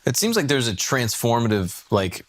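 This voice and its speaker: A man's voice: mid-range voice, sing-song, normal speed, no room sound. A man co-hosting a podcast, asking probing, carefully hedged questions on a studio mic. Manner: probing, hedging. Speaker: podcast co-host. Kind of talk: interview questions.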